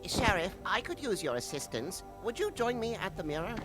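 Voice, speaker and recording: Courteous, bookish monkey helper, lilting and lively, fussy, game music behind. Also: male voice, high-pitched, a little fast. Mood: eager, obliging. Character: polite, fussy little male flying monkey assistant. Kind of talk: video game dialogue acting.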